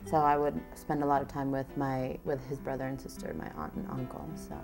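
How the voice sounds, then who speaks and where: A woman's voice: very deep voice, lively intonation, brisk, vocal fry, a little mellow. A woman in her twenties from Chile, telling personal stories in a chatty, casual way, full of "like" and acted-out quotes. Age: 20s. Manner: chatty, lots of "like", acts out quotes. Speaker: documentary subject. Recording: music playing underneath.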